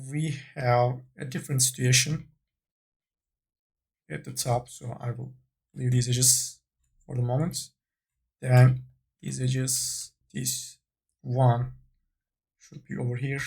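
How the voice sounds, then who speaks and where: A man narrating an unscripted software tutorial, tentatively thinking aloud as he works. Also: medium pitch, creaky, breathy edge. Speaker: 3D modeling tutorial instructor.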